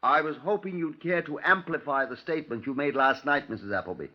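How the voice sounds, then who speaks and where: An actor playing a courteous, persistent Scotland Yard chief inspector in an old radio crime drama, formal and measured. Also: male voice, a little high, natural voice. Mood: politely probing. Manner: formal, measured, politely insistent. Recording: dull 1950s radio recording.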